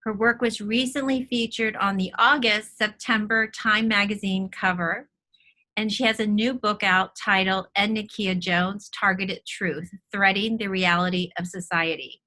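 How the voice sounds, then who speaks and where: Bright-voiced woman hosting an art talk. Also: medium pitch, fairly even intonation, unhurried, low-quality recording. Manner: polished, welcoming, reads a prepared intro. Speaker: host. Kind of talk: scripted introduction and interview. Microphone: video call.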